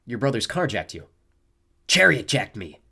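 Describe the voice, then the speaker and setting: A man's voice: medium pitch, very animated, a little fast, a bit bright, pitched above the performer's own voice. A man voicing a tough, angry teenage warrior girl who growls and snaps defensively. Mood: upset, defensive. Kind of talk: audiobook character voice.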